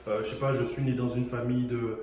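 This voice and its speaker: Chatty host in an echoey room. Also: male voice, mid-range voice, quick pace. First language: not French. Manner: chatty, relaxed, encouraging, many fillers. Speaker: host, real estate coach. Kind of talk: casual interview.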